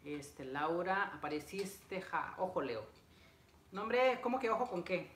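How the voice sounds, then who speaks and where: A man's voice: sits high, lively intonation, a little slow, fairly bright tone. A young adult man, a vlogger, chatting casually and repetitively with viewers while he works with his hands in a living room. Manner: chatty, reads out greetings, repeats phrases. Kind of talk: casual livestream chat with viewers while assembling furniture. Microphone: camera a few feet away. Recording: echoey room, noisy, clicks.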